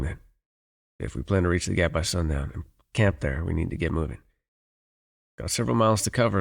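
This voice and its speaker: Man acting a gravelly, low-voiced wilderness guide. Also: full character voice. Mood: brisk, businesslike. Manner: dry, teasing, folksy. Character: gruff, teasing, exasperated wilderness guide for hire. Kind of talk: ASMR audio roleplay, one-sided dialogue addressed to the listener.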